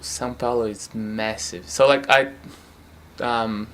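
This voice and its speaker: A young man from Brazil with a Brazilian Portuguese accent, answering interview questions at home in a relaxed, hesitant way with many fillers. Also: fairly low pitch, takes it slowly. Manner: relaxed, hesitant, frequent fillers and light laughs. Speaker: futsal player. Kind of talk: casual interview.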